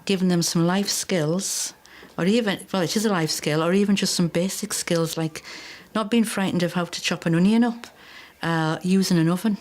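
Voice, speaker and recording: A woman's voice: on the low side, moderate pace, darkish tone. A woman teacher who runs a school cooking club, answering interview questions earnestly and plainly. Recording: music playing behind.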